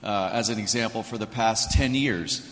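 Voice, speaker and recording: A man who directs a ministry's international crisis-response arm, answering an interview question in a measured, informative way. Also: lowish voice, fairly bright tone. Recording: long hall reverb.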